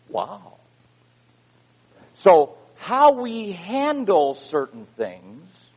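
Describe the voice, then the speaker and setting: A man preaching a sermon, reading scripture with loud emphasis and dropping into quiet asides. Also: sits high, slightly rough, poor audio.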